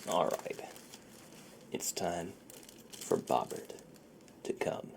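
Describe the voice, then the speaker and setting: A man playing a friendly, secretive underground ASMR dealer in a soft, breathy, half-whispered roleplay voice. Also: low voice, lively intonation, slight background noise, heavily acted. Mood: hushed, reassuring. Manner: slow, hushed, conversational.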